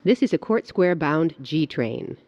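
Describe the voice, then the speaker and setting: A woman giving recorded subway announcements in a formal, clearly phrased way. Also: low voice, animated, measured pace, darkish tone, dry recording. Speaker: transit announcer. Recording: dull, lo-fi announcement audio.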